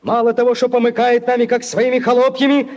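An actor in a 1940s radio play, playing a bold, rebellious young Cossack ringleader in a theatrical, rousing manner. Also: male voice, very high-pitched, level tone, fast, a little mellow, dull audio. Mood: rousing, mischievous. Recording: old 1940s radio recording.

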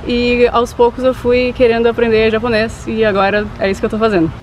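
A woman of about eighteen, chatting casually outdoors in a grassy field and pausing to think as she talks. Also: on the high side, slight background noise, rough recording. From Brazil. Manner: relaxed, thinks aloud with pauses. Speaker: vlog co-host. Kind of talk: casual chat. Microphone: camera mic at arm's length.

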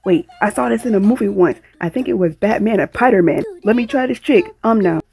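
A woman's voice: medium pitch, a little fast, vocal fry, a little mellow. A woman doing a comedic cartoon voice-over, acting out dialogue with sassy, colloquial, exaggerated delivery. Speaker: amateur voice-over performer dubbing a cartoon.

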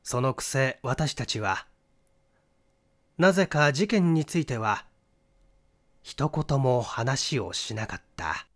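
A man giving a scripted narration of a mystery novel, in a dramatic, deliberate storytelling manner. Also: medium pitch, animated. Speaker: audiobook narrator, stage actor.

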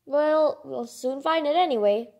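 A woman's voice: sits high, unhurried, darkish tone, dull audio. A woman reading a children's picture book aloud, expressively and informally, with chatty asides and exclamations. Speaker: read-aloud narrator.

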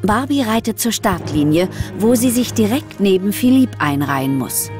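A woman's voice: mid-range voice, lively intonation, normal speed, music in the background. A woman narrating a scripted children's story.

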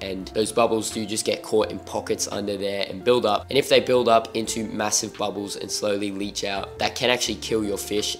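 A young Australian man hosting an aquarium channel, talking casually to camera in a room full of fish tanks, his voice a little off as he gets over being sick. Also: lowish voice. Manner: laid-back, filler-heavy ("like", "literally", "super"). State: recovering from illness, voice a bit off.

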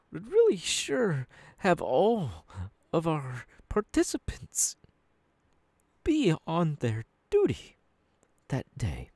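Man acting a taunting, slow-talking young villain boss. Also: sits high, darkish tone, no echo, full character voice. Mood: scolding, cold. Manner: taunting, drawn-out, dropping into whispers. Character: menacing young male villain boss, mocking and unhinged. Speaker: voice actor. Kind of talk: ASMR audio roleplay.

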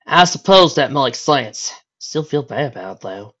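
A woman's voice: very deep voice, animated, fairly bright tone, exaggerated voice. A woman voicing a plain-spoken, remorseful female farm pony with an earnest manner in a story reading. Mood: guilty. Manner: slow, earnest. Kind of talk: fan fiction story reading. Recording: dull lo-fi recording.

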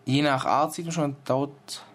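A man's voice: fairly high pitch, fairly even intonation, measured pace, fairly bright tone. A man giving a casual, informal demonstration of an app, explaining it step by step as its reviewer.

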